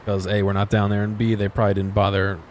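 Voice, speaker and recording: A man giving casual, off-the-cuff commentary as he explores a video game, low-key and musing to himself. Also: completely flat delivery, fast, darkish tone, slight background noise. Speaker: gaming video host.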